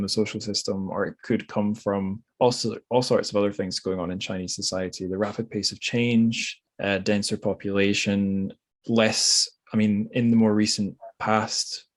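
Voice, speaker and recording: A man hosting a conversational literary interview, hesitant and rambling as he thinks aloud. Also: on the low side. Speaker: podcast host.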